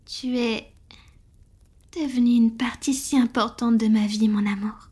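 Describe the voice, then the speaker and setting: Casting: actress as devoted, gentle vampire lover for roleplay audio; very breathy, often whispered, slow and intimate delivery. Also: female voice, on the high side, steady tone. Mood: loving, anxious. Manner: slow, gentle, affectionate, with pauses. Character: tender, devoted female vampire in love with a mortal. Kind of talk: French roleplay audio, soft intimate ASMR acting.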